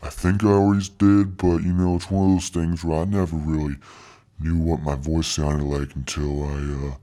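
Male actor as slangy, swaggering voice-over guy: extremely low, thick and dark, drawling and boastful, comic podcast guest. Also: little pitch movement, normal speed. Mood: cool, boastful. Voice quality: thick, deep voice. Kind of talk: comic in-character interview.

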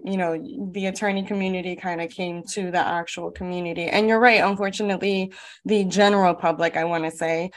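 Chatty woman hosting an interview. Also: on the low side. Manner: chatty, affirming, many "um" and "right?". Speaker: interview host. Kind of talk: conversational interview.

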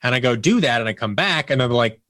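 A man's voice: mid-range voice, expressive, fast, fairly bright tone, no echo, studio quality. A man chatting as an interview guest, telling anecdotes emphatically and voicing the dialogue of people he quotes. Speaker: podcast guest, audio producer.

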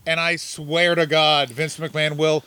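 A man's voice: fairly high pitch, little pitch movement, a little slow, bright, forceful tone, clean tone, no room sound. Crude, heckling podcast co-host man. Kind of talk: casual chat.

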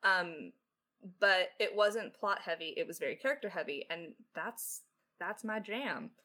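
A woman's voice: fairly low pitch, animated, measured pace, pushed and bright. A young adult woman from Canada chatting casually and jokingly as a podcast lead writer and co-host. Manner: playful, joking. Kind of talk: casual creator Q&A chat.

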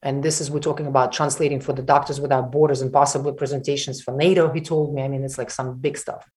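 A woman from Ukraine speaking as an interview guest, halting and emphatic with many restarts. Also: extremely low pitch, steady tone, a little mellow. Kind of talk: emotional conversational interview.

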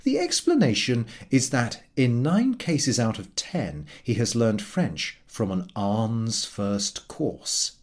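A man narrating a comic literary story in a formal, measured and expressive storytelling manner. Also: mid-range voice. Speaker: audiobook narrator.

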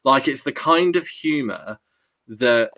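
A man's voice: medium pitch, animated, slow pace, a bit bright, no room sound. A man hosting a casual chat about films, chatty and rambling, reading live comments as he goes. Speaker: podcast host.

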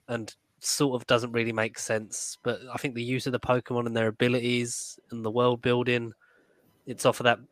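A man speaks as a panelist on a casual podcast. His voice is medium in pitch and recorded very dry, with no room sound. He builds his thoughts in hesitant little pieces. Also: a bit bright, dry recording. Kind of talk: casual chat.

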